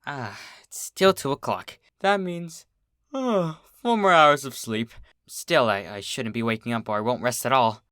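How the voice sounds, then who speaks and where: A performer voicing a bookish young female pony, anxious and easily exasperated, in an expressive cartoon-style story reading. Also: mid-range voice, unhurried, dry recording, clean studio sound. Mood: sleepy, muttering. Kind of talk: animated character acting in a fan fiction reading.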